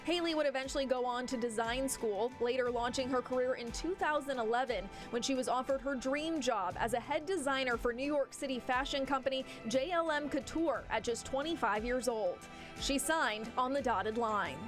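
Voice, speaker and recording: A woman's voice: high voice, moderate pace, music in the background. A woman with an American accent reading scripted narration as a television news feature reporter.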